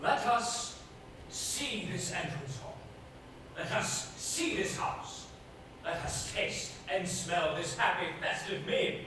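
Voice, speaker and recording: A man's voice: sits high, sing-song, full character voice. A man on a theater stage playing an imperious, exacting acting coach who declaims pompously with grand flair. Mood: grandly instructing. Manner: pompous, declamatory, deliberate diction. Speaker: stage actor in an amateur two-man play. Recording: very echoey theater, distant mic, noisy.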